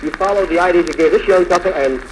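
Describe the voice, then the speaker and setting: A man's voice: high-pitched, fast talker, noisy background. A man hosting a 1940s radio show in the announcing style of the era, on an old recording.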